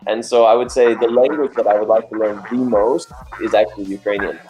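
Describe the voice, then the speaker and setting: A male dancer chatting casually in an interview, telling animated stories and laughing often. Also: lowish voice, fast, soft background music.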